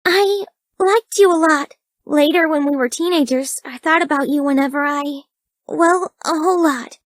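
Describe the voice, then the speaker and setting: A woman's voice: high-pitched, moderate pace, no room sound, studio quality, natural voice. A young woman voicing a shy, bookish, easily flustered dating-game heroine, sweetly awkward and hesitant. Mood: wistful, shy. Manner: hesitant, breathy stammering, girlish and earnest. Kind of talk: video game dating-sim voice acting.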